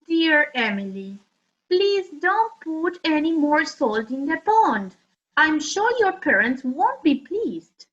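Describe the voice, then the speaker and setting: A woman teacher reading a children's story aloud in a careful, measured and expressive way. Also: high-pitched. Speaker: primary school teacher, co-reader. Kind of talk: slow read-aloud of story letters for children. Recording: dull lo-fi sound.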